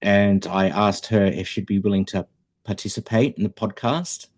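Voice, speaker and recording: Deep-voiced man, hesitant. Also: steady tone, normal speed, darkish tone. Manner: hesitant, many ums, dry humour. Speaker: podcast co-host. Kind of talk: casual chat.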